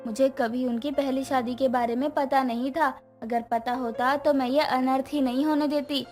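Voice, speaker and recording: Gentle, forgiving stepmother for a family tale, high-pitched and emotive , voiced by a woman. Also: steady tone, normal speed, faint music behind, lightly acted. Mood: forgiving, sorrowful. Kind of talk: dramatized bedtime moral story.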